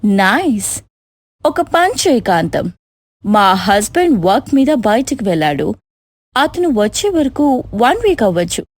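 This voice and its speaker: A woman's voice: mid-range voice, big pitch swings, measured pace, no room sound. A young foreign-raised daughter-in-law in a cartoon moral tale. She is polite and westernised, dropping English phrases into her talk, in a close, dry recording. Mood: chatty, bossy. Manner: mixes English phrases into speech. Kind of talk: moral story cartoon voice acting.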